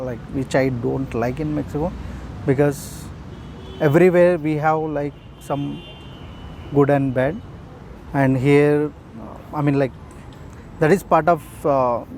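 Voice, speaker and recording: Indian man, young adult, halting street-interview answers, faint traffic behind. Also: fairly high pitch, gravelly, darkish tone, rough recording. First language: Urdu. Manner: hesitant, many fillers.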